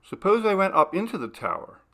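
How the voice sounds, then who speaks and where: Man doing a soft curious young woman in a gothic tale, a bit higher, gravelly texture. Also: wildly swooping pitch, a little fast, full character voice. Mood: wondering. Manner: wondering, gentle.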